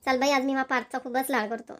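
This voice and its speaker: A woman plays an affectionate cartoon village woman with a high voice and a rural Maharashtrian accent. She talks in a homely, chatty way, full of fondness for her family. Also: little pitch movement, quick pace, fairly bright tone. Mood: fond, chatty. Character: affectionate village women, doting grandmother and dutiful daughter-in-law. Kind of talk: Marathi family cartoon voice acting.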